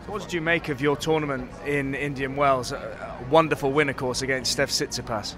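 A man's voice: mid-range voice, steady tone, a little fast, a crowd in the background. A man interviewing a young tennis player outdoors, asking friendly, conversational questions with small restarts.

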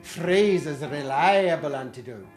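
A performer playing a pompous, excitable Georgian lord in comic improvisation, with theatrical drawn-out pronouncements. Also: medium pitch, loud music behind the voice, lightly acted. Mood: pompous, teasing.

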